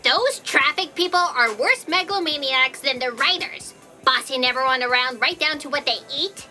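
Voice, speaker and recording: A woman's voice: high voice, expressive, moderate pace, sharp tone, soft background music, exaggerated voice. A woman playing a frantic, bossy character with an urgent, exasperated manner in a comic sci-fi audio drama. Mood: worried, indignant. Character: frantic, bossy, self-important woman fretting over writers.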